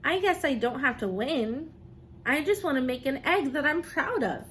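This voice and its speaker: A woman voicing an earnest, kind young male rabbit from a picture book, with a sincere and gentle delivery. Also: sits high, animated, normal speed, a little hiss or hum. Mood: thoughtful.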